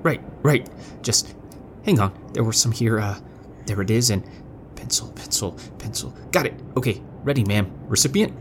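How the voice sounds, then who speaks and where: An actor playing a flustered, timid telegraph clerk in a period audio drama, stammering, hesitant and deferential. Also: male voice, lowish voice, normal speed, noisy background, lightly acted.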